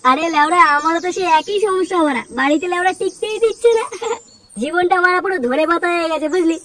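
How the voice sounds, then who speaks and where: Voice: extremely high pitch, quick pace, fairly bright tone. A performer voicing a bossy, coarse male village gang leader in a comic cartoon. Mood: grumbling. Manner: bossy, coarse, swearing constantly. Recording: distant, very noisy cartoon soundtrack.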